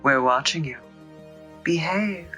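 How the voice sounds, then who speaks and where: A man playing a condescending, coolly authoritative secret enforcer in a comic book dramatization, deliberate and patronizing. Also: a little high, pushed and bright, soft background music. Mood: coolly threatening.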